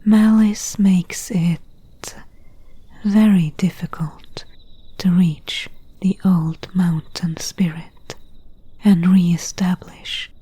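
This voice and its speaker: Woman acting very slow, whispering solemn friend. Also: on the low side, fairly even intonation, exaggerated voice. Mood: solemn, hushed. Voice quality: breathy, unvoiced whisper. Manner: slow, hushed, deliberate delivery with pauses. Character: gentle, solemn friend warning of night spirits. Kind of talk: whispered ASMR roleplay.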